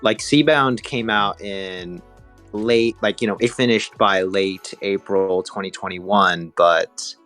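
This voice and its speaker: A man's voice: fairly low pitch, slow pace, a bit bright, soft background music. A man co-hosting a casual fan podcast, rambling as he pieces his thoughts together. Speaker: podcast co-host.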